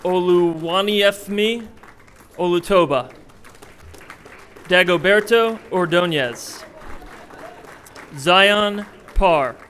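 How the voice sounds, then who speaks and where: A man announces names at a ceremony, pausing long between each one. His voice sits high for a man. A faint crowd and room noise are heard behind him. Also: sharp tone. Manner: slow, deliberate name announcing. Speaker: ceremony name reader. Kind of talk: reading out names.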